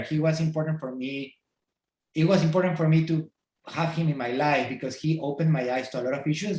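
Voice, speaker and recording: A middle-aged man from Peru with a Peruvian Spanish accent, talking thoughtfully as a podcast guest, pausing often on fillers. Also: a little high, darkish tone. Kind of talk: casual interview. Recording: roomy echo.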